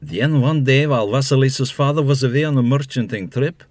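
A man's voice: mid-range voice, brisk, dry recording. Dry-sounding man narrating a tale. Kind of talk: scripted storytelling narration.

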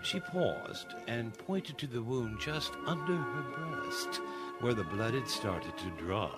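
A man narrating a dark fairy tale as a theatrical, scripted radio-play storyteller. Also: mid-range voice, expressive, slow pace. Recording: prominent music under the voice.

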